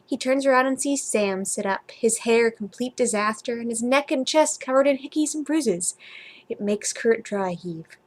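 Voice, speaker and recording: A woman's voice: fairly high pitch, moderate pace. A woman vlogger chatting casually and reading a story aloud informally, sometimes stumbling over her words.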